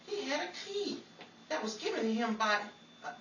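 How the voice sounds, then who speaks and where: An actor playing an excitable, blustering man who stammers in a hammy 1940s radio comedy. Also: extremely high pitch, moderate pace, heavily acted. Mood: indignant. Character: Henry, excitable, put-upon comic man in 1940s Hollywood. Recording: roomy, distant mic, very noisy with crowd.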